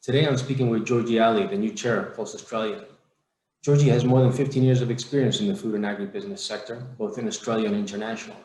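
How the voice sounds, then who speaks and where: A man's voice: fairly low pitch, fast talker, a little mellow, echoey room, a little hiss or hum, rough recording. A man hosting an interview, reading a prepared introduction and then asking questions plainly.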